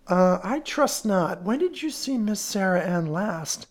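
A woman's voice: mid-range voice, lively intonation, unhurried, pitched above the performer's own voice. A woman voicing a courteous, authoritative male detective with polite, educated phrasing in an audiobook detective story.

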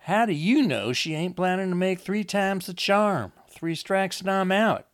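Man voicing a pleading, high-pitched country girl. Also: unhurried. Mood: pleading, frightened. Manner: folksy, insistent, urgent. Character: wounded, frightened, pleading rural Southern young woman.

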